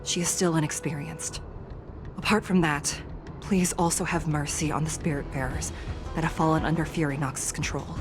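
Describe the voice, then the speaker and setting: A woman voicing a composed, authoritative, dutiful warrior in a video game, speaking formally and calmly. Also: low voice, lightly acted. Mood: instructing. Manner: formal, calm, measured. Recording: game music behind the voice.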